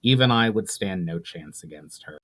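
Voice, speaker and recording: A man's voice: sits low, expressive, moderate pace, fairly bright tone, voice pushed down. A man voicing a courteous, earnest elf envoy with formal wording in a fantasy story. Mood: grave.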